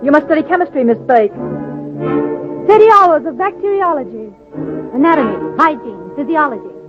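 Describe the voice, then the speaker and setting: An actress playing a strict, efficient nursing-school instructor with crisp, formal declamation in 1940s radio drama style. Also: female voice, high-pitched, expressive, normal speed. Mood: stern, instructive. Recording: thin phone-like old radio recording, music.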